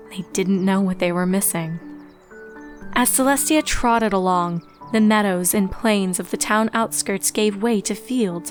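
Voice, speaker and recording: A woman reading scripted storybook narration as the narrator of a fan fiction tale. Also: mid-range voice. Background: music.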